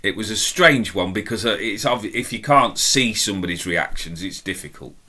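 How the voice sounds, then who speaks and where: A middle-aged man with a deep, strong voice, telling a personal story in a chatty, self-deprecating, emphatic way. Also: expressive, brisk, pushed and bright. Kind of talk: casual anecdotal storytelling.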